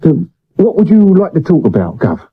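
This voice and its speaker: Male: devoted British Victorian dresser, excitable and deferential, husky high voice, animated radio-play delivery, old lo-fi broadcast. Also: normal speed, exaggerated voice. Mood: fussy, eager. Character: devoted, fussy, put-upon male servant to an actor. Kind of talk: 1970s British radio drama acting. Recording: dull old medium wave radio recording.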